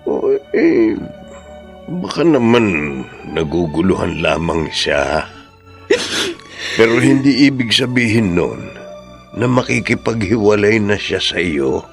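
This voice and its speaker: Deep creaky old Filipino father. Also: sing-song, faint music behind, exaggerated voice. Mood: consoling. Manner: measured, consoling, earnest. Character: gentle, wise older father counselling his grown daughter. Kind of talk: Filipino radio drama acting.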